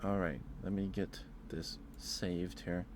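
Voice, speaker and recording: Male ASMR streamer, deep register, informal halting delivery, audibly noisy recording. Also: little pitch movement. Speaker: live streamer. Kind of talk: casual ASMR livestream chat.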